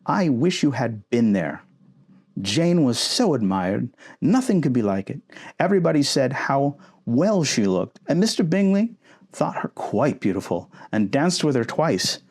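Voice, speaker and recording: A man voicing an excitable, gossipy middle-aged mother from a storybook, effusive and dramatic. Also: mid-range voice, measured pace, breathy edge, heavily acted. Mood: gushing, excited. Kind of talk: storybook character acting.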